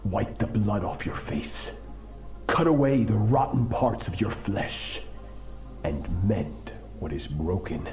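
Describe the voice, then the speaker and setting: An actor playing a cold, merciless sword master in a video game, speaking in a solemn, commanding and deliberate manner. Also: male voice, fairly low pitch, expressive, darkish tone, echoey room, faint music behind. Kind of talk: dramatic video game voice acting.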